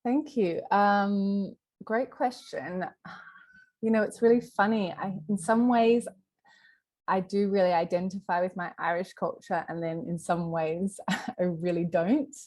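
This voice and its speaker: A woman's voice: mid-range voice, fairly even intonation, measured pace, darkish tone. A woman from Western Sydney with an Australian accent, chatting informally in an interview and thinking aloud as she goes. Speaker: company executive being interviewed. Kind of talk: casual interview chat.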